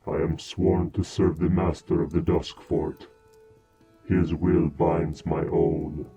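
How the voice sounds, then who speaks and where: Man playing a deep, grave dark knight. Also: level tone, gravelly, loud music behind the voice, heavily acted. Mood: solemn, dutiful. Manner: formal, grave, deliberate. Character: stern, dutiful dark knight henchman, calm and formal. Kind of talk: dark fantasy audio roleplay.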